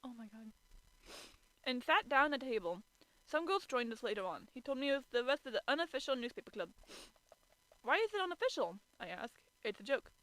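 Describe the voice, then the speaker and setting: Female amateur streamer, bright and high-ish, dramatic story reading with stumbles and exasperated asides. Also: very animated, a little fast, no room sound, poor audio. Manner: stumbling over words, theatrically exasperated. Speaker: streamer reading fan fiction aloud.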